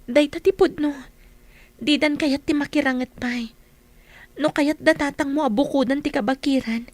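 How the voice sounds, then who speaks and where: Lady playing young ilocano village girl in radio drama, really high voice, guarded, kinda hesitant. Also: moderate pace, no echo, natural voice. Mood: guarded, wary. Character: guarded young village daughter caught in family feud.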